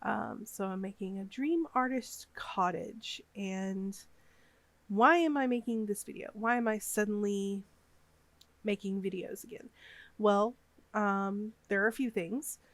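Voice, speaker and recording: Vlogger woman, 30s, sniffly from a cold, slow and meandering, dry close sound. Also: medium pitch, no echo. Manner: rambling, hesitant, lots of fillers. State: has a cold, a bit sniffly. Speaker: gaming YouTuber. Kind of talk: casual voiceover over a speedbuild.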